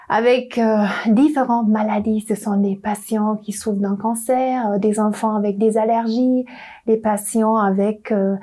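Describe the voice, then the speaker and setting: Young non-native woman doctor, slow and hesitant, warm tone, slightly high voice. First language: not French. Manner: hesitant, searching for words, many fillers. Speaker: doctor at a clinic. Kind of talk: interview guest.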